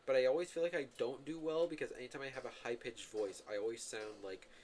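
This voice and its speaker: A man's voice: mid-range voice, fairly even intonation, fast, darkish tone. A man streaming a video game, giving casual, rambling commentary full of filler words and self-corrections. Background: game music.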